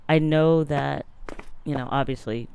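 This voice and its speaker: A young adult gaming streamer with a partly lost voice, chatting casually and reading game text aloud into a close boom mic in a small home room. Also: steady tone, measured pace, creaky, darkish tone, smooth. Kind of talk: casual let's-play commentary. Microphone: boom mic on arm, close.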